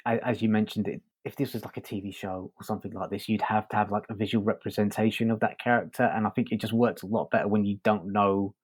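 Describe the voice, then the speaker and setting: A man co-hosting a podcast, chatting casually in a halting way and often repeating words as he goes. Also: on the low side, steady tone, husky. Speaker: podcast host and reviewer. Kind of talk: casual review chat.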